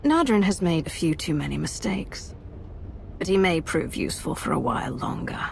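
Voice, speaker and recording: A woman's voice: fairly low pitch, sing-song, normal speed, vocal fry, a little hiss or hum, exaggerated voice. A woman playing a cold, ruthless Sith commander in a video game, speaking imperiously and with threat. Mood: icy sarcasm. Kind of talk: dramatic video game dialogue. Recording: game music behind the voice.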